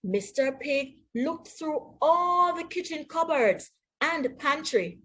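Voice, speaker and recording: A woman with a Jamaican accent reading a storybook to young pupils as their teacher, in an expressive, deliberate way. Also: sits high. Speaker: primary school teacher. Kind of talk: read-aloud storybook lesson for young children.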